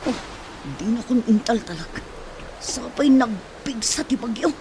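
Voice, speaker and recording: A woman's voice: on the high side, animated, unhurried. A woman playing a worried, exclaiming companion caught in a storm in a Philippine radio drama. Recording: faint storm-like noise.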